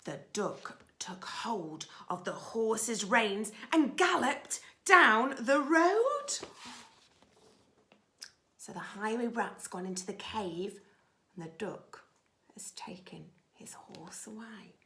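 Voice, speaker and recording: A woman reading a children's story as a teacher would, expressively and dramatically, moving between full voice and hushed asides. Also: on the high side, very animated, takes it slowly. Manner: dramatic, alternating loud lines with hushed asides.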